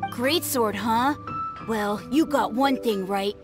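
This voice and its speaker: A woman's voice: a little high, takes it slowly, music in the background. A woman playing a brash, self-important little boy who fancies himself a warrior, in a video game. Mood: suspicious, bossy.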